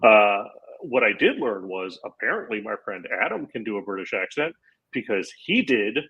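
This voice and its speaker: A man in his forties, a comedian guest on a podcast, telling a long anecdote in a casual, animated way and acting out the lines of the people in it. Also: fairly low pitch, normal speed, husky, dull audio. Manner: animated anecdote-teller, acts out quoted lines. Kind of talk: casual storytelling.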